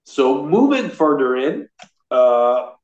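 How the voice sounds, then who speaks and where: A man's voice: mid-range voice, sing-song, slow, a little mellow. A man in his thirties from Rome with a light Italian accent, chatting as a real estate guest on a video call, often restarting his sentences. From Rome, Italy. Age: 30s. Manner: chatty, frequent restarts and fillers, gestures with hands. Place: indoor room at a desk. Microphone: laptop camera on video call. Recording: roomy, dull video call audio.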